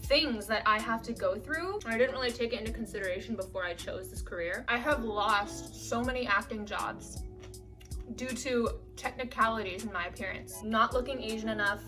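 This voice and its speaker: A young woman, an actress, telling stories in a chatty, animated way with many "like"s. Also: on the high side, moderate pace, far from the mic, over music. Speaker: actress and vlogger. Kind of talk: casual storytime chat.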